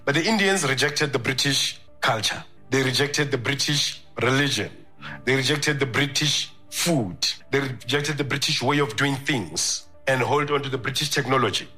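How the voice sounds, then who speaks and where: Bright-voiced man giving an emphatic speech. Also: medium pitch, normal speed, faint music behind. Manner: emphatic, repetitive lecturing. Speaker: public speaker. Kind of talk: persuasive speech.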